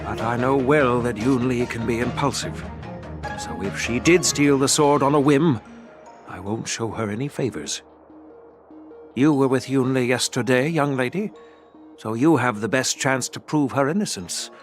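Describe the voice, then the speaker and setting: An actor playing a composed, fair-minded authority figure in a video game, speaking in a measured, formal and courteous way. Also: male voice, mid-range voice, close to an everyday voice. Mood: calm, firm. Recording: music clearly behind the voice.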